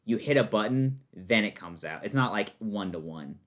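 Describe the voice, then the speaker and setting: Low-pitched American guy, rambling casually. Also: animated, normal speed. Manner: rambling, filler-heavy, informal explaining. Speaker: podcast guest, fighting game player. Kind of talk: casual podcast conversation.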